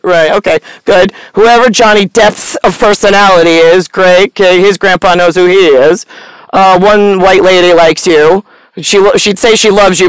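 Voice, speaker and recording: A woman's voice: medium pitch, clean tone, no echo, rough recording. Blunt woman rambling, close and dry. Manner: blunt, sardonic, profane, animated hand gestures. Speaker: vlogger. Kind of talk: rambling, stream-of-consciousness monologue to camera. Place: inside a parked car at night.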